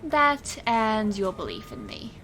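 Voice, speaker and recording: Audio drama illusionist, played by a woman: weary yet resolute, wry, slow delivery, fairly high voice. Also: huge pitch swings, a bit bright, heavily acted. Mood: sincere, grateful. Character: weary, resolute female illusionist and supernatural enforcer. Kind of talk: dramatic audio fiction acting.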